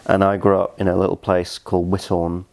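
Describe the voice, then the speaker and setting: Young male interview guest, English accent, deep voice, casual conversational delivery. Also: completely flat delivery, fairly quick, a little mellow. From southern Scotland. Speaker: interview guest, fragrance reviewer.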